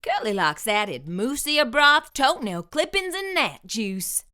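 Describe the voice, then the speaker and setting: High, lively woman reading aloud. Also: slow. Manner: expressive, sing-song storytelling. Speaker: storyteller host. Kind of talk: reading a picture book aloud.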